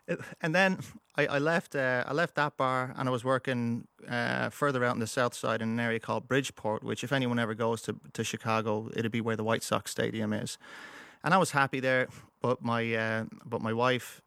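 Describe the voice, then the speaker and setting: A man's voice: mid-range voice, gravelly, a bit bright, no echo. A man with an accent that shifts between Irish and American, telling stories as an interview guest with many fillers and restarts. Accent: mixed Irish and American. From United States, moved to Ireland. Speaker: guest, musician. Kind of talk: casual interview storytelling.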